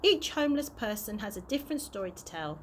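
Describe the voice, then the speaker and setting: A woman's voice: mid-range voice, very animated, fast, slight background noise, natural voice. A woman reading a storybook and voicing a frank, kindly homeless man, earnest and plain-spoken.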